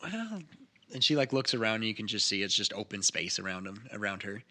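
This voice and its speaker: A man's voice: on the low side, very animated, a little fast, bright, forceful tone. A man casually narrating a tabletop game as game master among friends.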